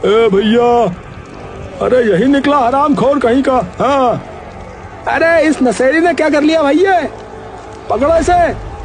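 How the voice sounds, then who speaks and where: Man acting high-voiced, distraught village farmer. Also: slow pace, long pauses, soft background music, heavily acted. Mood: outraged. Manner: wailing, rural colloquial speech. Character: distraught rustic farmer robbed of his crop. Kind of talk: Hindi moral story voice acting.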